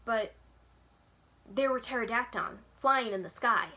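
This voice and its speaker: A woman's voice: on the high side, unhurried, vocal fry, bright, forceful tone, voice pushed up, exaggerated voice. A woman voicing an earnest, plainspoken, somewhat weary young boy hero in a children's storybook.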